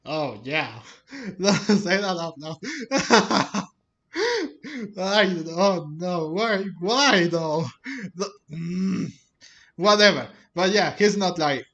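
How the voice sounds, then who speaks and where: A man's voice: high voice, animated, very slow, a bit bright, highs cut off. A man doing casual reaction commentary over gameplay as a streamer. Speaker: gaming streamer. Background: faint game music.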